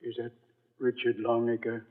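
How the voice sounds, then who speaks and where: An actor playing a secretive, irritable doctor in an old-time radio drama, conspiratorial and testy, with formal wording. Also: male voice, mid-range voice, completely flat delivery, unhurried, lightly acted. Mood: hushed, wary. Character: secretive, irritable male country doctor, long in hiding. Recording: muffled, narrow-band old radio recording.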